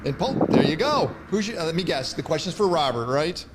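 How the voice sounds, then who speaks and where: A man's voice: on the high side, very animated, quick pace, very noisy. A man hosting an online panel, playful and teasing as he calls on guests and takes questions. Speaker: panel host. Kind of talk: livestreamed panel moderation.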